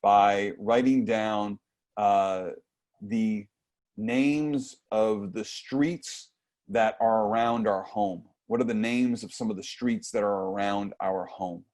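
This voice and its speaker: A man in his forties leading a youth writing workshop over a video call, talking in a relaxed way with lots of fillers. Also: lowish voice, slow pace. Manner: relaxed and conversational, frequent fillers. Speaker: workshop leader. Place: home room with bookshelves. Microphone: earphone mic on a video call.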